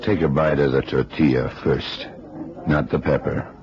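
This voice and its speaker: Casting: observant FBI detective, bass voice, understated and explanatory, muffled vintage radio drama recording. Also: male voice, fairly even intonation, moderate pace. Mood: knowing, instructive. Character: blind male FBI detective, calm, observant, knowing. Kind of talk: 1960s-70s radio drama acting. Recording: dull old radio recording, background chatter.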